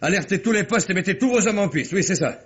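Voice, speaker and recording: A man's voice: sits high, lively intonation, quick pace, fairly bright tone, slightly rough, full character voice. A man playing a shrewd, unflappable police commissioner in a mid-century French radio crime drama, speaking in a dry, terse, colloquial way. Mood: urgent. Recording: dull, muffled old radio recording.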